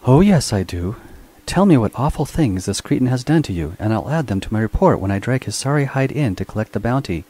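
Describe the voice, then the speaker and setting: A man voicing a sharp-tongued woman bounty hunter with a blunt, mocking manner. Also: medium pitch, expressive, fairly quick, husky, pitched above the performer's own voice. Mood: brisk.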